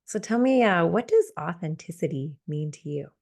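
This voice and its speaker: A woman's voice: fairly low pitch, expressive, normal speed, darkish tone. A woman hosting a podcast interview, enthusiastic and affirming toward her guests. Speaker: podcast host.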